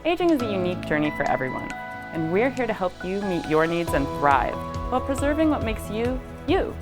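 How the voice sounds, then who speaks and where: A woman hosting a community talk show, reading scripted lines in a bright announcer-like way. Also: mid-range voice, big pitch swings, a little fast, music in the background. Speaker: host.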